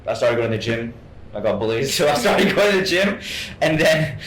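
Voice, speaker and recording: A man from Hong Kong giving an informal interview as a guest actor, halting and often repeating his words. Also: sing-song, fairly bright tone. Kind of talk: informal artist talk, answering questions. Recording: echoey room, faint rumbling noise.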